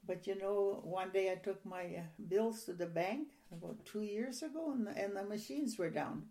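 Older woman with a gravelly, mellow voice. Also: medium pitch. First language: Ukrainian. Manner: rambling reminiscing, soft and halting. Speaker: interviewee. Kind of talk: oral history interview.

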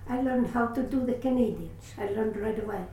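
Older Latvian-accented woman, halting and roomy. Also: fairly high pitch, warm tone, soft background music. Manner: halting, searching for words, restarts often. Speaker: interviewee. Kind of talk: oral history interview. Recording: roomy echo, distant mic, faint hiss.

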